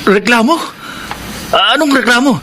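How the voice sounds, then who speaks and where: A man's voice: extremely high pitch, moderate pace, pushed and bright, slight background noise. An actor playing a nervous man who stammers when police come for him in a radio drama. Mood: flustered. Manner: flustered, stammering. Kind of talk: classic radio drama acting.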